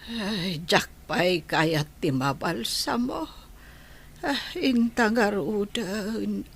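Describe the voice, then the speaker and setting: A woman playing a sickly, fretful old village grandmother in a radio drama, with a creaky, husky voice and a plaintive way of talking. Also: medium pitch, animated, exaggerated voice. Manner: slow, plaintive, complaining. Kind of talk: Ilocano radio drama acting.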